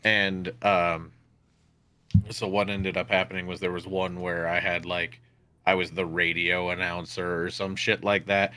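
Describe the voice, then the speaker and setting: A man's voice: low-pitched, little pitch movement, unhurried, bright, forceful tone. A young man chatting casually and swearing freely among tabletop players, rambling through a story. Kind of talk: casual chat at a tabletop game.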